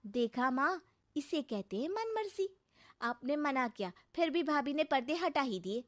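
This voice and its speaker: A young cartoon sister-in-law , acted by a woman, snarky and always meddling. She taunts in a whiny, very high voice and draws her words out slowly. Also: big pitch swings. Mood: mocking. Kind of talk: Hindi cartoon story acting.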